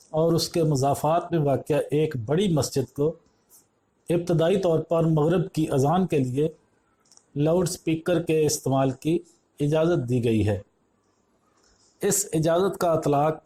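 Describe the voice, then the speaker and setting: A man narrating a scripted news report, reading formally in measured phrases. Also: fairly high pitch, mellow.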